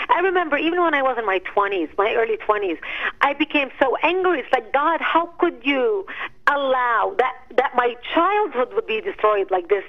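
High-voiced passionate woman. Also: lo-fi sound. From Middle East. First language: Arabic. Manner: earnest, emphatic, preacherly. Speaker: guest, activist founder. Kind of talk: impassioned personal testimony.

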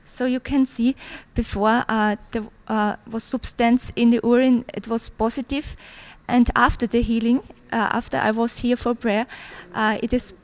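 A woman's voice: a little high, steady tone. Hesitant Austrian woman in her thirties. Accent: Austrian German. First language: German. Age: 30s. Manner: hesitant, many pauses and fillers. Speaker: church visitor giving testimony. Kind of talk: interview testimony.

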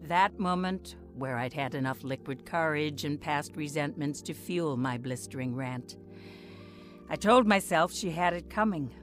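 An actress playing a bitter, stubborn wife in a radio drama, with a sarcastic and defiant manner. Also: female voice, deep voice, measured pace, soft background music. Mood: rueful. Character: bitter, combative, stubborn wife and later divorcee. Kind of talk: radio drama acting with reflective narration.

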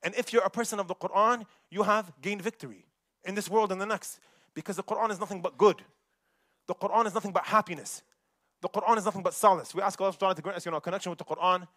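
A young man giving a Friday sermon in a mosque, preaching in an animated way and mixing recited verses with casual asides. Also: high voice, very fast, no echo, studio quality. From United States.

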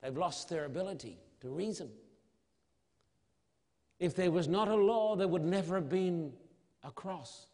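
A man preaching an evangelistic sermon in a solemn, emphatic manner, with dramatic pauses and rhetorical questions. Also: high-pitched, darkish tone. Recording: long hall reverb.

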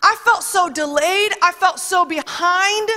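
A woman's voice: very high voice, unhurried, pushed and bright. A woman preaching a conversational sermon, emphatic and chatty, with asides that quote other people. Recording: long reverb of a large hall.

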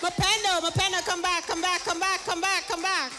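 A woman acting as stage MC, teasing and hyping the crowd in a loud, energetic way. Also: extremely high pitch, fairly quick, over music.

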